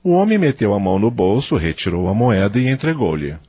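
Deep, expressive Brazilian male narrator. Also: a little fast, slightly rough. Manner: solemn, dramatic storytelling. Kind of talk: scripted radio drama narration.

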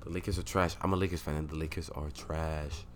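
A young man co-hosting a podcast, teasing and arguing loudly about sports in casual chat. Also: very deep voice, fairly quick, a little hiss or hum. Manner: teasing, argumentative, gets loud and excited arguing sports. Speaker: podcast co-host.

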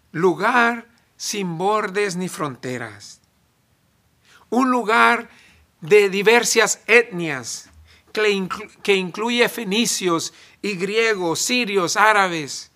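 A man from El Salvador, preaching a sermon in deliberate phrases with emphatic pauses. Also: sits high, pushed and bright.

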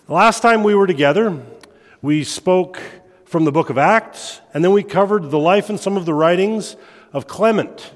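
A man with a head cold gives a relaxed evening lecture, joking at his own expense now and then. His voice sits a touch high. It rings out with a long reverb, as if he is speaking in a large hall. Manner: relaxed, self-deprecating, quick to laugh. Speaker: church history lecturer. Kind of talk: informal lecture at a church meeting. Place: church meeting room.

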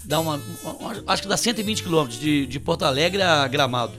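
A Brazilian man co-hosting a podcast, throwing in quick jokes and asides. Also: fairly high pitch, animated, a bit bright, soft background music. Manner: quick, joking interjections. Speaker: podcast co-host. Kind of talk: casual podcast chat.

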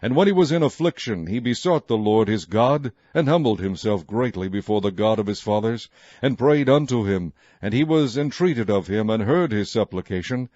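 A man reading scripture aloud in a measured, formal, solemn narration style. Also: mid-range voice, warm tone, dry recording, highs cut off. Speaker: Bible reader.

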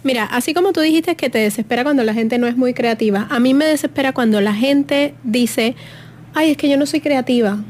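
A woman from Puerto Rico talks as a radio guest, with a light Puerto Rican accent. She sounds lively and friendly, and her voice is fairly high. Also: brisk. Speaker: guest, creativity workshop leader. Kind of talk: radio interview.

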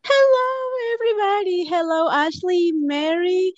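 A woman's voice: very high voice, unhurried, no room sound, lo-fi sound. A Peruvian woman with a Spanish accent, a podcast guest who talks effusively and laughs often between phrases. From Peru. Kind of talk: casual podcast conversation.

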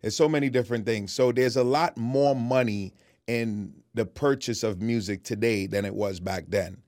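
A man's voice: mid-range voice, moderate pace, darkish tone, no room sound, clean studio sound. A man co-hosting a podcast, talking bluntly and casually about business, swearing freely. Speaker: podcast co-host.

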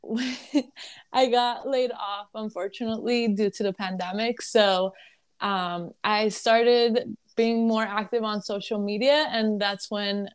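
A woman's voice: mid-range voice, fairly even intonation, takes it slowly, clear, resonant tone. A woman in her twenties with a Lebanese accent, a podcast guest chatting casually and laughing between phrases. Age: 20s. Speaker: guest, content creator. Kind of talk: casual conversational interview.

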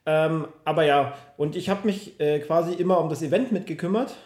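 A man in his thirties speaks as a guest in a casual conversation. His voice sits a little higher than most men's. He tells stories informally, and the room echoes noticeably. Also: fairly quick, darkish tone. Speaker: podcast guest. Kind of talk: casual chat, personal storytelling. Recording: roomy, echoing room.

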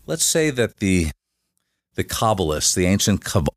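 A man with a very low voice talks as a guest on a podcast. He explains at length in a halting way, full of restarts and fillers. The sound is dry, with no room echo. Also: sing-song. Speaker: guest, shamanic facilitator. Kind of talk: casual podcast interview.

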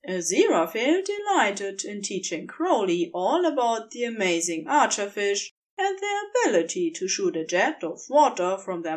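A woman reading scripted fiction as the narrator, telling a story. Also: medium pitch.

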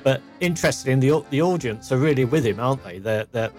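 A man's voice: mid-range voice, expressive, fast talker, faint music behind. A man co-hosting a podcast, reflective and agreeable, humming along in casual chat. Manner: reflective, agreeable, frequent hums. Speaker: podcast co-host.

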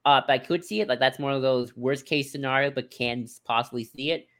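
A man's voice: mid-range voice, quick pace, fairly bright tone. A man co-hosting a podcast in casual discussion, rambling and hesitant, often circling back over his phrases. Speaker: podcast co-host.

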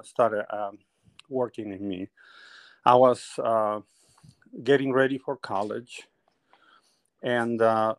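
A man's voice: mid-range voice, no echo. Peruvian man telling his story, dry sound. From Peru. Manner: animated storyteller, laughs often. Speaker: guest painter. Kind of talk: conversational interview.

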